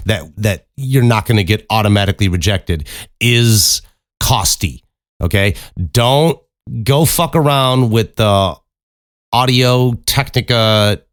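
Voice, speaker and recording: A man with a deep voice hosts a casual solo podcast, giving advice in a blunt, emphatic way with plenty of swearing, close on a studio microphone. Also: no room sound. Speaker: podcast host and voice actor. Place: acoustically treated home recording room. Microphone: close studio condenser microphone.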